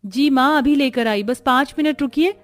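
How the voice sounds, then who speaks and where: A woman's voice: sits high, normal speed, close to an everyday voice. A woman voicing a poor, dutiful young village daughter in a Hindi cartoon story, earnest and plaintive. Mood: dutiful, apologetic.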